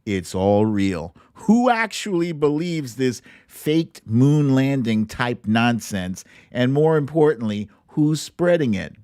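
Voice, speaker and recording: Man chatting casually, slow and dry-sounding. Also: mid-range voice, animated, a little mellow, smooth, no room sound. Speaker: host of a political commentary livestream. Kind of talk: casual live commentary.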